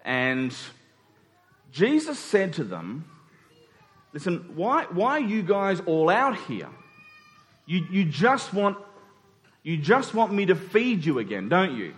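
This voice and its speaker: A man's voice: sits high, huge pitch swings, takes it slowly, pauses often. A man preaching a conversational sermon in an informal way, acting out dialogue in everyday words. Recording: long hall reverb.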